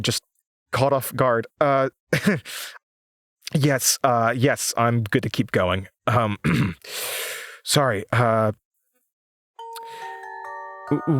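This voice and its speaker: A man acting as an anxious, haunted young radio host in a horror audio drama. His pace is slow and his pitch is mid-range for a man. Music plays behind his voice. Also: lightly acted. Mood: flustered.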